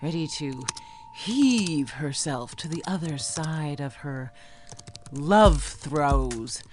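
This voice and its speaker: A woman's voice: deep voice, lively intonation, drawn-out words, background noise, exaggerated voice. An actress playing a harried, strict middle-aged mother who writes at home, in an audio play. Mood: muttering, composing.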